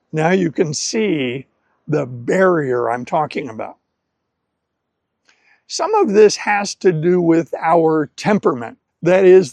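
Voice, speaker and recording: Male native-English language presenter, very unhurried and deliberate, careful phrasing, dry sound. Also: a little high, a little mellow, no room sound. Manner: deliberate, carefully phrased in short clear segments. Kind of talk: scripted educational talk to camera.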